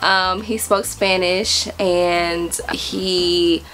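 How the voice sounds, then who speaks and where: A woman's voice: lowish voice, level tone, extremely slow, fairly bright tone, slight background noise, poor audio. A young American woman chatting casually to camera in a vlog, bubbly and giggly with lots of fillers. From United States. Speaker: vlogger.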